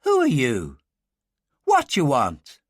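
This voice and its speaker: A man voicing a fierce young Irish farm woman of about twenty, with an Irish accent and a sharp, defiant way of talking. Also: mid-range voice, wildly swooping pitch, slow pace, no room sound, studio quality, full character voice.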